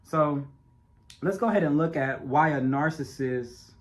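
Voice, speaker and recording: A man's voice: on the high side, measured pace. Stuttering man talking straight to viewers. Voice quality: stutter, speech impediment. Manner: repetitive, emphatic, talks straight to viewers. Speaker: self-made documentary narrator. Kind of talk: casual direct-address monologue.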